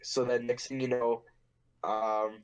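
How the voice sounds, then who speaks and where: A man's voice: mid-range voice, little pitch movement, slow, clear, resonant tone, rough recording. A young man hosting a casual podcast, telling a story in a rambling, profane, joking way. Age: young adult. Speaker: podcast host.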